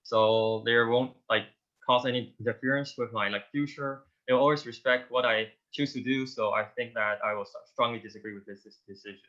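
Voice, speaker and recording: A teenage boy speaking slowly with uhs and likes as a panelist on a video call. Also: medium pitch, fairly even intonation, pushed and bright. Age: teens. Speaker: student panelist. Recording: dull lo-fi sound.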